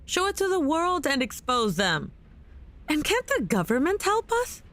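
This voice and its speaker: A woman's voice: high voice, wildly swooping pitch, unhurried, fairly bright tone, heavily acted. A woman playing a shaken, disbelieving character, anxious and questioning, in an audio drama. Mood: worried. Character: shaken, disbelieving woman fearing for loved ones.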